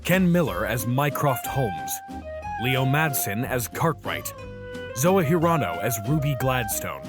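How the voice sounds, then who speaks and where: A man with a medium-pitched voice reads scripted credits as an announcer. Plucked guitar music plays clearly behind him. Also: big pitch swings, a little slow.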